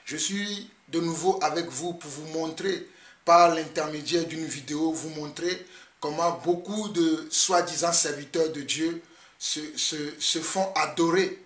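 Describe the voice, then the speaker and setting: A man's voice: a little high, measured pace, fairly bright tone, echoey room, recorded from a distance. A man from Togo with a Togolese French accent, a preacher whose first language is Mina or Ewe, speaking earnestly in an online sermon video and repeating phrases as he exhorts.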